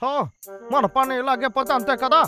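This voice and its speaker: An actor voicing a scheming, excitable, short-tempered male logger in a dubbed cartoon, wheedling and exclaiming theatrically. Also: high-pitched, moderate pace, music in the background, close to an everyday voice. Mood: scheming, muttering.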